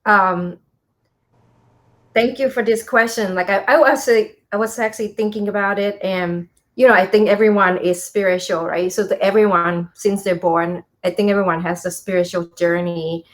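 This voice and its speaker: A woman's voice: medium pitch, normal speed. Earnest woman, English. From Thailand. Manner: earnest, animated, frequent fillers. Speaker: co-guest, spiritual teacher. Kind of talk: casual interview talk.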